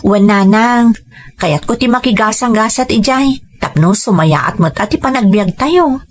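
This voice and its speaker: A woman's voice: a little high, expressive, unhurried, pitched above the performer's own voice. A woman with an Ilocano accent voicing a hopeful, dutiful daughter in an audio story, earnest and respectful. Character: hopeful dutiful daughter planning to work abroad.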